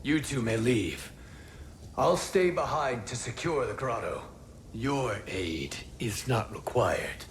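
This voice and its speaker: An actor playing a stern, wary warrior in a video game, grave and guarded, with solemn delivery. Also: male voice, mid-range voice, lively intonation, slow pace, a little hiss or hum. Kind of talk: dramatic video game acting.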